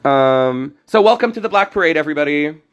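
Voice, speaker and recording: A young man streaming a card game, talking casually and rambling with many restarts, his voice slightly hoarse. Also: fairly high pitch, lively intonation, fairly quick. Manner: rambling, self-correcting. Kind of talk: casual gameplay commentary.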